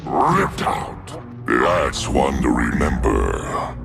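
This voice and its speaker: An actor voicing a bloodthirsty, mocking demonic male scythe in a game, with a deep gravelly growl. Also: huge pitch swings, drawn-out words, over music, exaggerated voice. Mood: sneering. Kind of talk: game voice lines.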